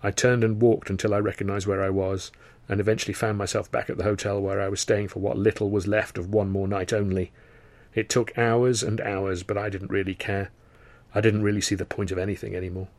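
A man's voice: lowish voice, little pitch movement, a little fast, a little mellow, dry recording. A man reading a first-person novel as a scripted audiobook narration.

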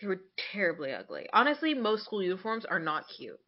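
A woman's voice: medium pitch, huge pitch swings, a little fast, muffled recording. A woman co-hosting a casual chat show, talking in a candid, sarcastic way with casual swearing. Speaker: podcast co-host.

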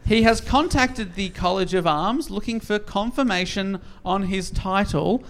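Brief: male host, high voice, chatty comic recapper. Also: measured pace, a little hiss or hum. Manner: jokey, laughs while telling. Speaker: podcast host recapping a novel. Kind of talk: casual comedic recap.

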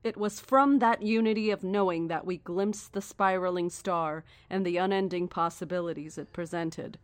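An actress playing a cryptic, coldly certain cult founder in a horror audio drama, speaking in a measured, formal and deliberate way. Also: female voice, lowish voice, no echo, lightly acted. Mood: calm, knowing.

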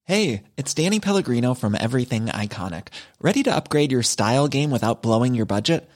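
A man's voice: medium pitch, animated, fairly quick, darkish tone. A man reading a casual podcast advertisement in an upbeat, breezy selling style.

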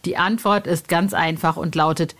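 A woman's voice: on the low side, steady tone, moderate pace, a bit bright. Casual, colloquial woman podcast host. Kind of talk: casual chatty podcast presenting.

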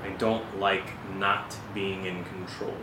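Audio drama: hesitant male investigator, acted by a man, naturalistic and uneasy; roomy sound with loud hum. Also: deep voice, flat delivery. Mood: reading, uneasy. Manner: halting, hesitant. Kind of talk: amateur audio drama, naturalistic dialogue and letter reading. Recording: roomy echo, loud air-conditioner-like hum.

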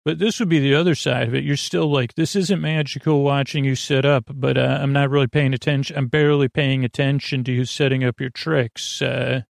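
A man with a creaky voice hosting a podcast in a rambling, halting, casual monologue that keeps trailing off and circling back. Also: mid-range voice, steady tone, dry recording, clean studio sound. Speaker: podcast host.

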